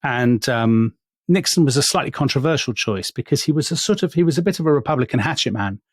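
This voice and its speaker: A man's voice: mid-range voice, expressive, fast talker, no echo, clean studio sound. A man co-hosting a casual history podcast, telling a story with plenty of asides and laughter. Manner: chatty, storytelling, laughs often. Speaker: podcast co-host.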